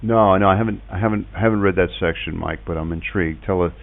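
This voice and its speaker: A middle-aged man chatting casually and reminiscing in a halting way, repeating words as he goes. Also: sits low, vocal fry. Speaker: podcast host and ham radio operator. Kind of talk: casual radio chat, reminiscing. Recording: tinny phone-like internet radio link.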